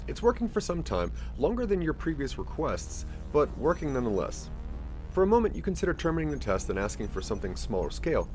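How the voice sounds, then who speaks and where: A man's voice: a little high, very animated, fast, mellow, faint music behind. A man narrating a scripted interactive audio story in the second person, with a theatrical storytelling delivery.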